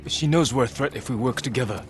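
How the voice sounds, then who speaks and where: An actor playing a stoic samurai lord in a video game, speaking in a measured, grave and firm way. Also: male voice, mid-range voice, faint music behind, natural voice. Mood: firm, challenging. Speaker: samurai protagonist. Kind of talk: dramatic video game acting.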